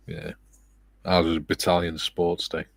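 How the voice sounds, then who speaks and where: A middle-aged man hosting a relaxed livestream, reading viewer comments aloud with a soft, soothing, self-deprecating manner and frequent laughs. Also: low-pitched, dry recording. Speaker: livestream host.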